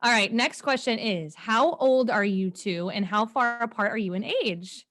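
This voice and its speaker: A woman's voice: a little high, moderate pace, fairly bright tone. A woman of twenty-nine co-hosting a sports podcast, chatting casually in a playful, upbeat manner.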